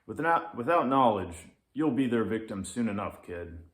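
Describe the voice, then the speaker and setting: A man's voice: on the low side, big pitch swings, moderate pace, a bit bright. A man voicing a grumpy, harried male lynx in a children's story, with an emphatic, scolding, impatient manner. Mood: annoyed. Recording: roomy echo.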